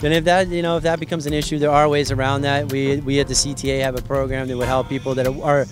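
A young man from Hawaii, a triathlon association president, explaining the sport in a relaxed, friendly way at an outdoor race. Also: mid-range voice, fast talker. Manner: relaxed, friendly, explains in loose run-on sentences. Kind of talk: outdoor event interview. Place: outdoors at a race venue under tents. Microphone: handheld mic. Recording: background music at outdoor event.

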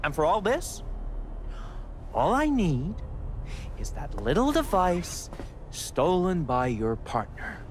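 An actor playing a smug, menacing middle-aged tech villain in a video game, with a smooth, condescending manner and theatrical pauses. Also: male voice, high-pitched, wildly swooping pitch, slight background noise, exaggerated voice. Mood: grandiose. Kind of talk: video game cutscene acting. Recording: music behind the voice. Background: cinematic music.

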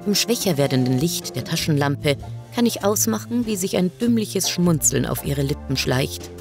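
Female story reader, low register, scripted first-person narration, clear music bed underneath. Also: animated, moderate pace, a little mellow. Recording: music behind the voice.

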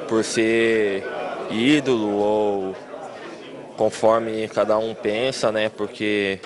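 A Brazilian football player in his thirties, a man with a fairly low, very level voice. He speaks calmly and gratefully while a crowd murmurs behind him. He holds a handheld reporter's mic. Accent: Brazilian Portuguese. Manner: calm, grateful, unhurried. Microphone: handheld microphone.

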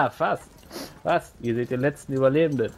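A man's voice: medium pitch, a little slow, fairly bright tone, a little hiss or hum. A man playing a battle-worn, haunted mercenary veteran in a tabletop roleplay, hesitant and wryly modest.

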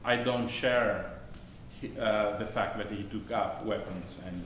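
A man's voice: on the low side, fairly even intonation, a bit bright. Italian-accented man on an echoey stage. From Italy. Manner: thoughtful, halting, many restarts, gesturing. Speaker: panelist, conflict negotiator. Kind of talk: onstage discussion. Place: theater stage, seated. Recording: echoey stage with faint noise.

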